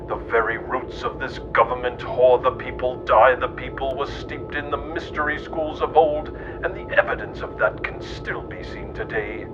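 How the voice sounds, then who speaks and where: A man reading scripted satirical narration in a mock-serious documentary manner. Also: mid-range voice. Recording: muffled recording with clear background noise.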